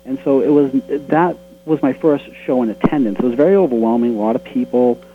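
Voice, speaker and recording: A man's voice: medium pitch, brisk. Boston man, guest comic creator, earnest and rambling, thin phone-line sound, music under it. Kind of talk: casual interview talk.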